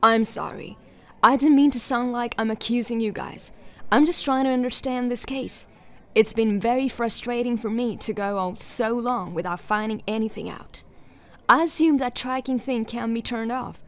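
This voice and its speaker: An actress playing a persistent, skeptical detective in a sci-fi audio drama, questioning in a direct way. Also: female voice, on the high side, moderate pace, close to an everyday voice. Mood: apologetic, frustrated. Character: persistent, skeptical female detective, dogged investigator.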